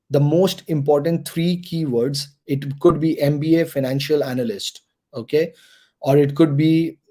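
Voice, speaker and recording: A man's voice: on the high side, warm tone. A man mentoring students through an online career workshop, repeating key points and checking in with the audience.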